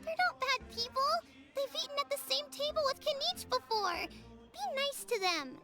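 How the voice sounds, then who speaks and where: A woman's voice: sing-song, normal speed, exaggerated voice. A woman voicing an earnest, cheeky little girl in a video game, with a childlike voice. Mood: pleading. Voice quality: childlike, high voice. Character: spirited little girl, daughter of an elder. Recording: game audio with music underneath.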